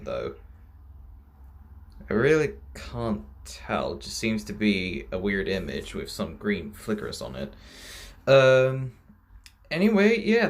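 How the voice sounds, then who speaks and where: Man giving casual, unsure reaction commentary. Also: mid-range voice. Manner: hesitant, unsure, rambling. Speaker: reaction video host.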